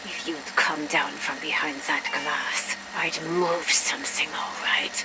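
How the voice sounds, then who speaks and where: A woman's voice: deep voice, steady tone, a little slow, very noisy. A woman playing a defiant, bitter captive under interrogation in a game audio log, curt and sarcastic. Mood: weary, hostile.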